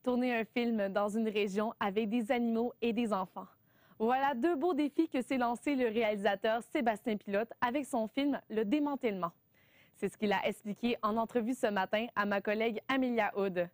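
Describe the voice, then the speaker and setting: Higher-voiced woman anchoring the news. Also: dry recording. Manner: smooth, measured delivery. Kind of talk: scripted newscast reading.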